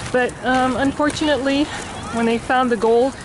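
A woman's voice: sits high, fairly even intonation, normal speed, background noise. A woman in her forties co-hosting a travel vlog, chatty and explaining as she films herself at arm's length. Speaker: vlog co-host. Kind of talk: casual travel vlog narration. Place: outdoors at a historic fort. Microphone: phone held at arm's length.

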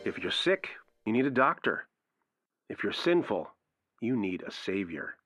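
A man playing an earnest radio preacher in an audio drama, quoting scripture emphatically and deliberately. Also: on the low side, very animated, a bit bright, no echo, dull audio, soft background music, full character voice. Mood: earnest, assuring.

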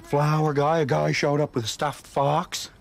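A man's voice: a little high, little pitch movement, normal speed, creaky. An actor playing a wry, foul-mouthed trailer park man making sarcastic asides. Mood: narrating.

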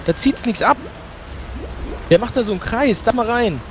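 A young man playing a video game with a friend, chatting casually and swinging between mumbling and excited yelling. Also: very high-pitched, moderate pace, faint music behind. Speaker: let's play host. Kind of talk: casual co-op gaming chat.

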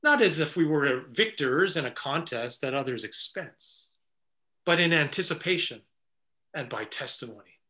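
A middle-aged man, a pastor, preaching an earnest, measured sermon indoors before a stone wall. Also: high-pitched, a bit bright.

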